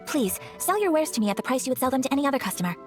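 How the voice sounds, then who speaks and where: An actress playing a gracious young noblewoman swordfighter in a video game, formal and precise, gentle but firm. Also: high voice, big pitch swings, very fast. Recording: music behind the voice.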